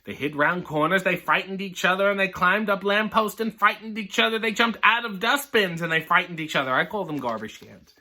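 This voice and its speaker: A man reading a children's picture book aloud, breaking off for sardonic, deadpan comic asides. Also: high-pitched, brisk, few pauses.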